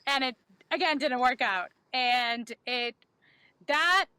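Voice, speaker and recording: A woman's voice: fairly high pitch, sharp tone, clean tone, no room sound, low-quality recording. A woman, a podcast guest candidly telling her life story in a reflective way with many ums. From Bangladesh. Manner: reflective, frequent um fillers.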